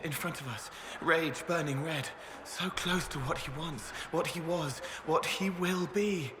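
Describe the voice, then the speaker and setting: Guy doing haunted cryptic seer in a game, highish voice, fragmented phrases, big echo and noise. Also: measured pace, lightly acted. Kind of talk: video game voice acting. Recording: echoey, noisy ambience.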